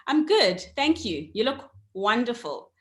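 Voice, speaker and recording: A woman's voice: fairly high pitch, sing-song, a little slow. A woman, hosting an online interview and welcoming her guest, with frequent ums along the way. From South Africa. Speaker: host.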